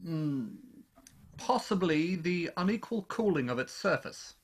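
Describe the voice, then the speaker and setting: Actor as a male scientist, fairly high pitch, slightly husky, precise, puzzled, scholarly, radio drama. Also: animated. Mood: puzzled, analytical. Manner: deliberate, precise, hesitant. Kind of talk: radio play acting.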